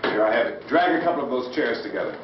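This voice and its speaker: A man's voice: medium pitch, lively intonation, fast talker, very noisy, close to an everyday voice. An actor playing a stern, blunt American man who takes charge and gives orders in a 1960s horror film. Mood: brisk, decisive. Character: commanding, stern man taking charge under siege. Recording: echoey, distant, muffled old film soundtrack.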